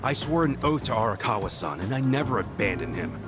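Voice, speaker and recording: An actor voicing a hot-headed but loyal young yakuza in a video game dub, with brash, slangy talk. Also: male voice, medium pitch, expressive, fairly quick, music in the background, lightly acted. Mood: defensive. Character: hot-headed, loyal, big-hearted low-ranking young yakuza man.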